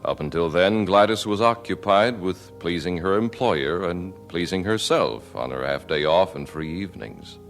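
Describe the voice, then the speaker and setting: A man narrating a scripted radio drama in a measured, formal storytelling manner. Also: deep voice, expressive, soft background music. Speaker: narrator and announcer.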